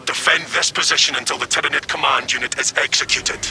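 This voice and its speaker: An actor playing a stern military commander issuing clipped orders over comms. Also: male voice, mid-range voice, fairly even intonation, fast, pushed and bright, a little hiss or hum. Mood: commanding.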